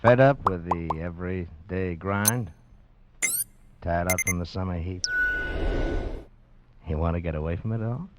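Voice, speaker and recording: An actor as an ominous, dramatic radio announcer in a vintage thriller style. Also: male voice, deep voice, measured pace, pauses often, background noise. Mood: beckoning. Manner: dramatic, portentous. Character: ominous radio announcer luring listeners into danger. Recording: dull vintage radio recording over music.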